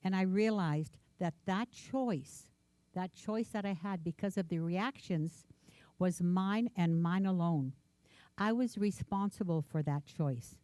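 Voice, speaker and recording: A woman's voice: on the low side, slow, mellow, no room sound. A woman minister, a native Ukrainian speaker, gives an earnest, reflective personal sermon in a church, repeating words for emphasis.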